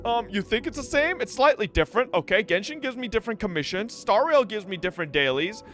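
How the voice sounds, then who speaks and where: A woman's voice: mid-range voice, animated, fast talker. A woman doing casual livestream reaction commentary, blunt and mocking, swearing and talking back to the characters. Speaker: streamer reacting to a game story. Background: game music.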